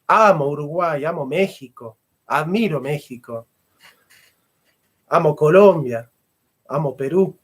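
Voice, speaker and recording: Fervent lecturer, middle-aged man, a touch high-pitched, hammers his points home by repeating them. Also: slow, pauses often, slightly rough. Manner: fervent, emphatic, repeats phrases for stress. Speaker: philosopher and history teacher. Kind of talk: impassioned lecture.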